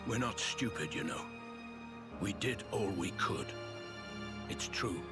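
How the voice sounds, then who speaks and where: A low, gruff veteran soldier from a fantasy game. He is grave, blunt and resigned, carrying grief, with music behind the deep voice. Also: expressive, slow. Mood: irritated. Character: grieving, weary, gruff middle-aged guard veteran. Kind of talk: video game voice acting.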